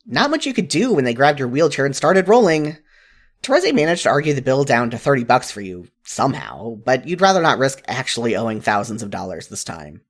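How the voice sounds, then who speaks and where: Story narrator reading fanfic, very animated, medium pitch, expressive. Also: fairly quick. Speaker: narrator of a fan fiction audio reading.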